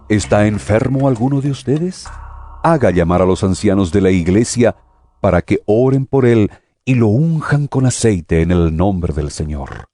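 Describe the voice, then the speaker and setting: A stern apostle exhorts believers in a dramatized scripture reading. His delivery is solemn and emphatic, with pitch that rises and falls widely. Music plays behind the voice. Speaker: narrator reading a Bible epistle.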